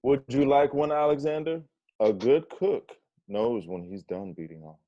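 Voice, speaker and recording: A man's voice: mid-range voice, normal speed, warm tone, close to an everyday voice. A man playing an indignant, competitive home cook in a comedy table read. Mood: proud, pointed. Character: indignant, competitive young man proud of his cooking. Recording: muffled video call audio.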